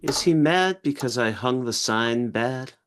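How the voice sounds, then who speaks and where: A man's voice: mid-range voice, expressive, measured pace, a little hiss or hum, lightly acted. A man playing a childlike, sensitive office worker in a script table read, naive and eager to please. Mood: anxious, wounded.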